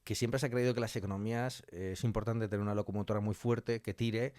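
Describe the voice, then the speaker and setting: A man from Spain with a fairly low voice and a Spanish accent, recorded dry and close. He speaks as a guest in a conversational interview, arguing his points through rhetorical questions and little imagined quotes. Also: steady tone, fast, a little mellow, dry recording. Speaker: interview guest, audio fiction writer. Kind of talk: conversational interview, giving opinions.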